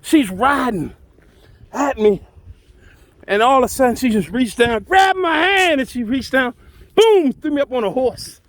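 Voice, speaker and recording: Male storyteller, notably high voice, dry close sound, colloquial and dramatic delivery. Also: expressive, a little slow, a bit bright, no echo. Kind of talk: animated first-person tall-tale storytelling.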